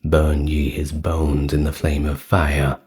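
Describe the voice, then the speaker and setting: A man hosting and narrating in an ASMR storytelling style, with a measured delivery. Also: a bass voice, monotone, a little mellow. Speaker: host, narrator of a history and mythology channel.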